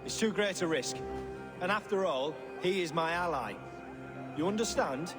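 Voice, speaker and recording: Brief: a wry, evasive puppet king, slightly high voice, long reverb. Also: expressive, moderate pace, over music. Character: sly scheming middle-aged Saxon puppet king. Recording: game audio with long reverb.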